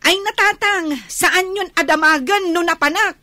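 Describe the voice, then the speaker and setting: High-pitched, insolent young daughter. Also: female voice, normal speed, pushed and bright, no echo. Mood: sulky, bored. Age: young adult. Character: defiant, sulky young daughter who shirks chores and parties. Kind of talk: radio drama acting.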